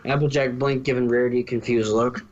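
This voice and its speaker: A man's voice: mid-range voice, steady tone, fast talker, highs cut off, background noise. A man reading a story aloud as narrator, now and then stumbling and correcting himself. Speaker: narrator, fan fiction reader.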